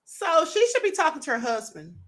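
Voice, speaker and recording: A woman hosting her own commentary show, reacting to videos in a sassy, animated way and laughing often. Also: high-pitched, brisk. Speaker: show host.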